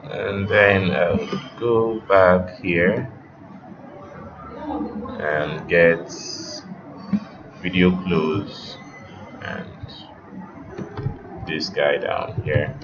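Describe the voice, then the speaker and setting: Deep-voiced man, slow, in a noisy room. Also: steady tone, music in the background. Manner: halting, thinking aloud while working. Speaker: web design instructor. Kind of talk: informal screen-recorded software tutorial. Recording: muffled, roomy, noisy home recording.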